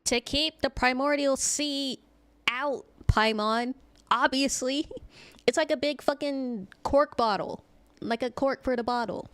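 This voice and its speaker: A woman's voice: high-pitched, unhurried, fairly bright tone, smooth, dry recording. A woman streamer giving casual live game commentary in a playful, teasing, blunt way, close to the microphone.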